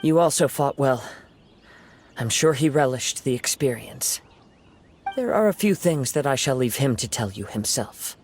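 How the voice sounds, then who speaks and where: An actor playing a calm, honourable young wandering swordsman in a video game, speaking in a measured, gentle, formal way. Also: mid-range voice, faint music behind, lightly acted. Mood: respectful.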